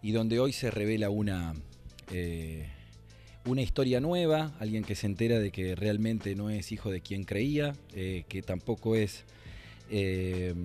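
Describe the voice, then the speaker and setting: A man in his forties with an Argentine accent explains a production in an interview, in a halting, reflective way. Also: on the low side, dark tone. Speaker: radio producer. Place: indoor library.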